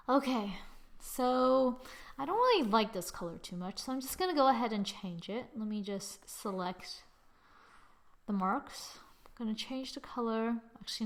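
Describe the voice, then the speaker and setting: A woman hosting a casual drawing software tutorial, thinking aloud informally and often murmuring as she works. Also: on the high side. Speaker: tutorial host. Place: screen-recording voice-over.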